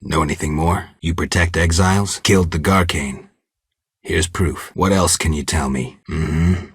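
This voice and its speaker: A man voicing a stoic, world-weary monster hunter in a video game, terse and deadpan, with a gravelly, husky low voice. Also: a little slow, no echo, clean studio sound, exaggerated voice. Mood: quietly observant. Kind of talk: video game dialogue lines.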